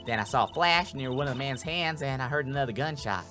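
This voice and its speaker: A man's voice: medium pitch, expressive, fast talker, bright, forceful tone, soft background music. A man voicing a brash, folksy Southern woman photographer in a video game, with a slangy, boastful drawl. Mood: defiant.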